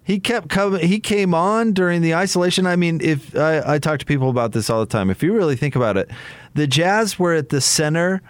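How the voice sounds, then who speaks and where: A man's voice: a little high, fairly quick, dry recording. An American man in casual sports radio discussion as a commentator, hesitating and repeating words while he builds his point.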